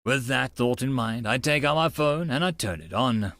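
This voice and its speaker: A man reads a visual novel aloud as an audiobook narrator. His mid-range voice moves widely in pitch, expressive and informal, and the recording is close and dry. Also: moderate pace, no echo.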